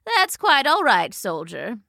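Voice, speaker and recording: A woman's voice: a little high, wildly swooping pitch, slow, gravelly, pushed and bright, dry recording, clean studio sound, pitched above the performer's own voice, exaggerated voice. A woman voicing a patient old male hornet who keeps the peace with authority in a children's story. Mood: kindly.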